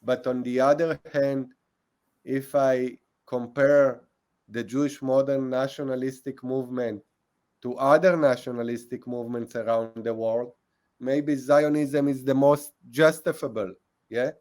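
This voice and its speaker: A man in his forties with an Israeli accent, a historian speaking as a guest over a video call, measured, restarting phrases and adding "yeah?" to check in. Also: medium pitch, fairly even intonation, darkish tone. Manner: measured, repeats "I, I" and tags "yeah?". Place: small room at home. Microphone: earbuds on video call.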